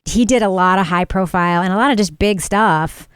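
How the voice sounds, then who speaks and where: A woman hosting a casual true-crime podcast chat, animated and quick to agree, laughing often. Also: mid-range voice, clean tone, no room sound, clean studio sound. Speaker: podcast host.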